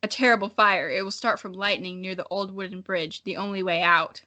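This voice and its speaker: A woman's voice: mid-range voice, fairly even intonation, fairly quick, bright, forceful tone, lightly acted. A woman voicing solemn, cryptic spirit animal guides from a storybook, in an instructive manner. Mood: grave.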